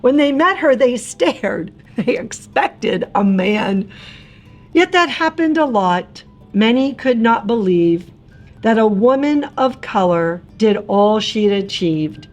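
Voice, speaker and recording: A woman narrating a rhyming picture book for young children in an expressive, theatrical and emphatic way. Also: a little high, slow, faint music behind.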